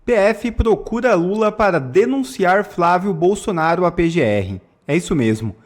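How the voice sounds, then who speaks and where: A man hosting a news channel, reading political news in a formal, steady way with indignant asides. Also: high-pitched, moderate pace. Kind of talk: read news commentary.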